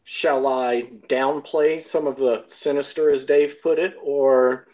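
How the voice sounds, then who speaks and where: A man's voice: medium pitch, fairly even intonation, takes it slowly, a little mellow, poor audio. A man speaking as a guest in a casual discussion, hesitantly thinking aloud in long sentences. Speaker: audio drama cast member, podcast guest.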